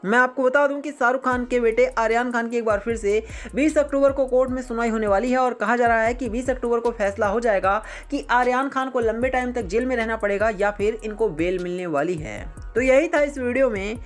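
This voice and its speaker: High-pitched woman narrating news. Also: brisk, hardly any pauses, faint music behind. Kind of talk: scripted sensational news narration.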